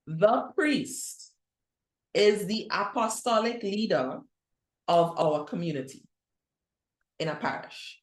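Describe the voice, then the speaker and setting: A woman's voice: medium pitch, expressive, slow pace, long pauses, roomy sound. A middle-aged woman with a Trinidadian accent giving a conference presentation on youth ministry, talking in a direct, conversational way. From Trinidad and Tobago. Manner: conversational, direct, with restarts and rhetorical questions.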